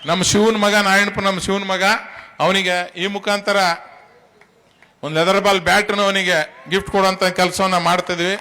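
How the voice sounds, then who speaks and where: High-pitched North Karnataka man giving a speech. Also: flat delivery, moderate pace, pushed and bright. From Karnataka, India. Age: middle-aged. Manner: emphatic, colloquial, addressing listeners directly. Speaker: tournament organiser. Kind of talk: public speech at a launch event.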